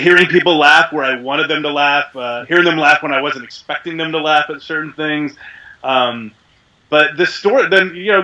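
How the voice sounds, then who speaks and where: Man, deep resonant voice, a bit sick, rambling chatty interview talk, bright edge. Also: low-quality recording. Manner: chatty, rambling, self-deprecating with frequent fillers. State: a little sick. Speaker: voice actor guest. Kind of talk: casual interview chat.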